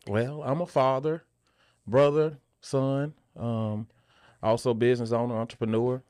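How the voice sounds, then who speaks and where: A man answers as a guest in a casual podcast interview. His pitch is middling. The sound around his voice is dry, with hardly any room to it. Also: fairly even intonation, measured pace, darkish tone, dry recording. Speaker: podcast guest, actor and filmmaker.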